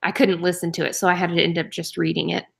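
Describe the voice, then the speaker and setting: A woman's voice: fairly low pitch, little pitch movement, fast, vocal fry. A woman from Oklahoma giving frank, matter-of-fact opinions in a casual book club chat.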